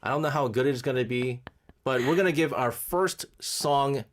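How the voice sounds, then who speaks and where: A man hosting a radio show in casual chat, animated and laughing often. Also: moderate pace. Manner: animated, laughs often, swings between high and low. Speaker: radio host.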